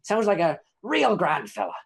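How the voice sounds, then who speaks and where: A man's voice: high voice, huge pitch swings, normal speed, gravelly, husky, exaggerated voice. A man voicing a chatty, cheeky imprisoned informant who rambles and stammers in old-timey folksy phrasing. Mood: rambling.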